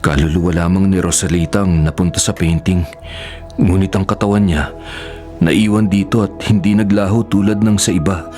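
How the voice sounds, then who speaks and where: A man's voice: low voice, fairly even intonation, moderate pace, a little mellow, faint music behind, close to an everyday voice. An actor playing a grave, perceptive man who advises on spirits and rituals in a radio horror drama, with an earnest, solemn delivery. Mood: grave, explaining.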